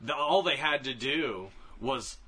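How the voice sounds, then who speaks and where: A man's voice: medium pitch, lively intonation, a little slow, sharp tone, breathy edge. A man co-hosting a podcast, talking casually and with opinions about films and games, emphatic and repeating phrases for stress. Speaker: podcast co-host.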